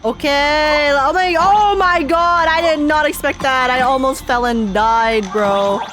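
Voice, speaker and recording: A young woman giving a casual, jokey, slangy live game reaction, animated, with some music behind her. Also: sits high, unhurried. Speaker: gaming streamer.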